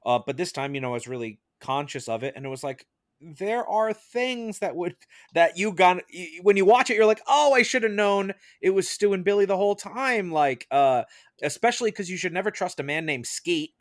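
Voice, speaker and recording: A man's voice: high voice, moderate pace, no room sound. A man chats casually as moderator and panelist on a film podcast. His voice sounds close and dry, with no room around it. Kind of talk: casual chat.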